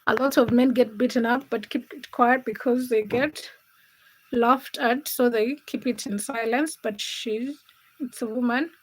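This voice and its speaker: A woman's voice: fairly high pitch, fairly even intonation, a little slow, low-quality recording. A young woman from Uganda and Kenya hosting a casual livestream, reading out viewers' comments and teasing them by name. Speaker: livestream host.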